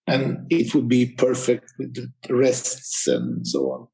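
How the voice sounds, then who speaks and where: Croatian-accented man, gravelly and halting. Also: medium pitch, big pitch swings, warm tone. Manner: halting, many fillers and restarts. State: tired. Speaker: guest coach. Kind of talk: casual conversation. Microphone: video call.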